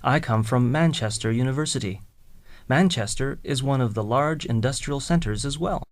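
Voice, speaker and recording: A speaker reading scripted English listening exercises in a slow, deliberate way, spelling out words letter by letter. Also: mid-range voice, natural voice. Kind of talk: scripted slow textbook dialogue.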